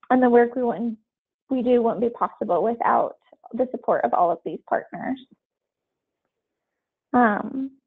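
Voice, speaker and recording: High, gravelly woman on a tinny line. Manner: filler-heavy, many ums. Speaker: webinar host. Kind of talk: webinar announcements. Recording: tinny phone-line audio.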